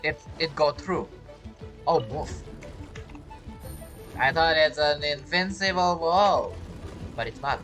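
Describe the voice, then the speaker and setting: A woman's voice: low-pitched, takes it slowly, long pauses, fairly bright tone. A woman giving casual video game playthrough commentary, rambling and thinking aloud with questions to herself. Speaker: gamer, video creator. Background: game music.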